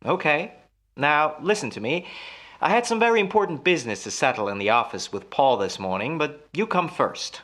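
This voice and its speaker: A man playing a smooth, solicitous husband in an old-time radio melodrama, soothing and patronizing with a quietly menacing edge. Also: a little high, animated, moderate pace. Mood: coaxing, quietly menacing.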